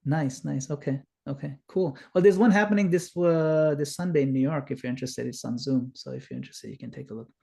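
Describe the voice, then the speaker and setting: A man's voice: a little high, fairly quick, vocal fry, hardly any pauses, warm tone. A middle-aged man from Kenya giving an earnest, explanatory spiritual talk over a video call, with fillers. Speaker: guest speaker.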